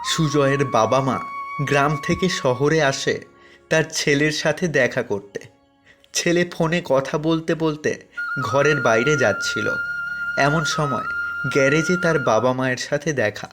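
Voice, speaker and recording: A man narrating a cartoon fairy tale in a steady, plain storytelling manner. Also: mid-range voice, measured pace, music in the background.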